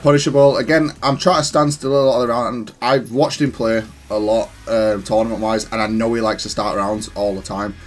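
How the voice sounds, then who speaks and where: A man's voice: medium pitch, fairly even intonation, a little fast, hardly any pauses. A man giving casual, analytical commentary on his own gaming match, explaining his decisions with some self-correction. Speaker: gamer reviewing own match. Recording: music playing behind the voice. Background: music.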